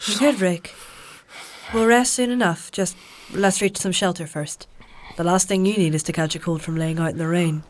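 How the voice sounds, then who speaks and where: A woman acts a practical, wry healer on the road. Her voice sits a little low, and she talks in a dry, conversational, stubborn way. The fantasy audio drama has faint noise underneath her. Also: steady tone, normal speed, close to an everyday voice. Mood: coaxing. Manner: dry, matter-of-fact, conversational. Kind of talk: dark fantasy audio drama dialogue and narration.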